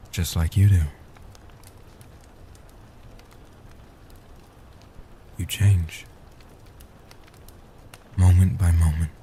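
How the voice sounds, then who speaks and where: A low, soft-spoken immortal vampire guardian, played by a man, in a comfort roleplay audio. He is tender and devoted, speaking slowly and deliberately in a very even, hushed tone. The voice is very dark and warm. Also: a little hiss or hum. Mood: soothing, then tender, admiring. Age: young adult. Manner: tender, reassuring, slow and deliberate. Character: gentle, devoted centuries-old male vampire guardian.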